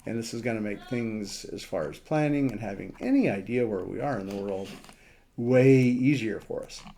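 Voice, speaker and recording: Guy doing a casual hobby demo, soft mellow tone, kinda halting, thinks out loud. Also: on the low side, gravelly. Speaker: amateur radio operator presenting a map demo. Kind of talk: casual screen walkthrough.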